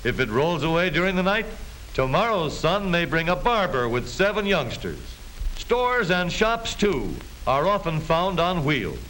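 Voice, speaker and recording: A man's voice: a little high, fairly bright tone. An American man delivering scripted 1930s newsreel narration in a jaunty, folksy showman's manner. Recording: hissy, noisy 1930s film soundtrack.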